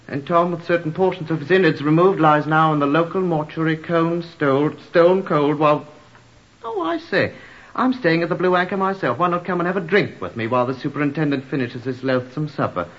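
A man's voice: on the high side, lively intonation, brisk, a bit bright. An actor playing a flippant, garrulous man with macabre humour and wordy, mock-literary talk in a radio drama. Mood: macabre relish. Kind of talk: old-time radio drama acting. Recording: dull, muffled old radio recording.

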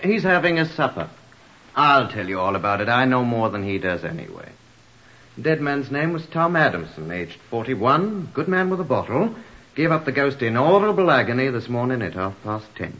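Wordy tippling brit, droll and morbid, very animated pitch, muffled old radio play. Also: male voice, moderate pace. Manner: droll, wordy, mock-literary. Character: flippant, garrulous, macabre-humoured man fond of drink. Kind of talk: old-time radio drama acting.

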